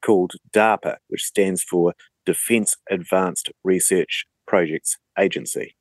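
A man, explaining things as an interview guest in a measured, deliberate way. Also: sits low, steady tone, no echo.

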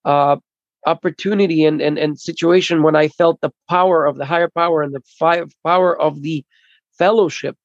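Gravelly man interpreting, halting. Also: fairly high pitch, steady tone, no echo. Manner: halting, repeats and restarts phrases. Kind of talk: consecutive interpreting at a recovery meeting. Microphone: video call. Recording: dull lo-fi video call audio.

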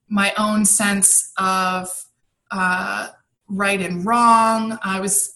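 A woman in her thirties, a style coach, answering interview questions in a chatty way with many pauses and ums. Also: mid-range voice, pushed and bright. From grew up abroad and in Maryland, US. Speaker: guest, personal style coach. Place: home in Maryland.

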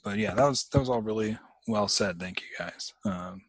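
A man with a fairly low voice hosts a casual literary discussion. He feels his way through ideas haltingly and often trails off. The sound is dry and close. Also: no room sound. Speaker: host of a book discussion.

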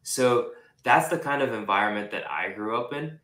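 A young man with a bright, forceful voice sits somewhat low in pitch. He talks in a relaxed, chatty way, full of "like" fillers and easy laughter. The room gives his voice a noticeable echo. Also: normal speed. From Japan, raised in Singapore. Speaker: real estate investor guest. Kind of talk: casual interview.

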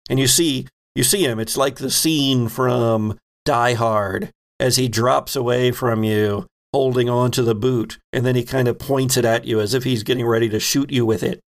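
A man with noticeable fry in his voice acts as game master. He sits at an average male pitch and narrates playfully, improvising as he describes each scene. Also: steady tone, normal speed, hardly any pauses. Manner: descriptive, playful storytelling with pop-culture asides. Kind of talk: improvised narration of a tabletop horror-comedy game.

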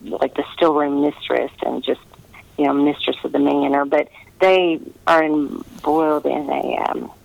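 A woman's voice: deep voice, steady tone, a little slow, creaky, a little mellow, dry recording, dull audio. A woman novelist chatting casually in an interview, talking in a meandering way with trailing asides.

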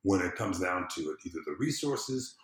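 A man's voice: sits low, animated, normal speed. A man from the southern United States with an American accent, talking casually and emphatically as a podcast guest, with informal, salty phrasing. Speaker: podcast guest, civic engagement consultant. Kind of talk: casual, impassioned conversation. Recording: roomy, echoing sound.

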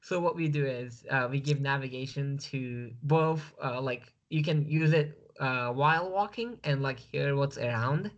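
A man's voice: medium pitch, a little slow, hardly any pauses. Man, Turkish accent, interview guest, hesitant, lots of fillers, video call, muffled audio. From Turkey.

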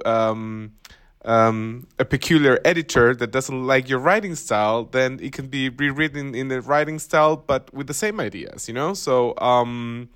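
A man whose first language is Spanish, speaking as a podcast panelist and thinking aloud with many pauses and fillers. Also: mid-range voice, a bit bright, smooth. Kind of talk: conversational discussion.

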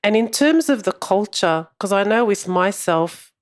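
A woman's voice: medium pitch, lively intonation, measured pace. A woman interviewing older migrants, asking questions and affirming their answers. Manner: encouraging, affirming. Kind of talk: oral history interview.

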